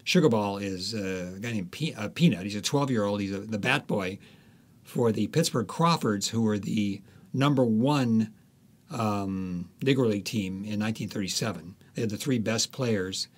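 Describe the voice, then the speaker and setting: Man, author guest, lowish voice, reflective, deliberate, frequent pauses. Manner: halting, deliberate, pausing often. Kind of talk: reflective conversational explanation.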